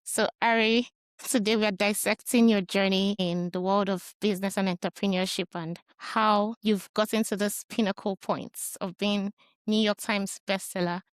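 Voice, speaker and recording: A woman's voice: medium pitch, fairly even intonation, moderate pace, clear, resonant tone, no echo. A woman in a mid-range voice hosts a podcast interview. She introduces her guest and asks questions in a friendly, easy manner. The sound is dry and close. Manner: friendly, laughs often, reads intros. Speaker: podcast host.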